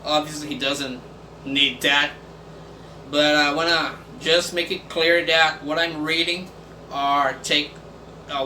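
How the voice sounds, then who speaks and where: A man whose first language is Portuguese, hosting a casual video and reading text aloud in English with many pauses and fillers. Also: on the high side, pushed and bright. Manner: halting, many fillers and restarts. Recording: echoey room from far off, faint background noise.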